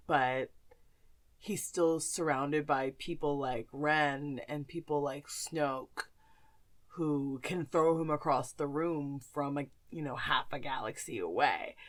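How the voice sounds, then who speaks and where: A woman podcast host chatting casually and informally about films and actors, with some slang. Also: medium pitch.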